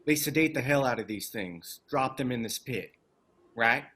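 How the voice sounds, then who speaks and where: Cocky male activist, acted by a man, medium pitch. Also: lively intonation, normal speed, fairly bright tone, slightly rough. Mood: casual. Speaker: actor in a screenplay table read. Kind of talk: table read acting.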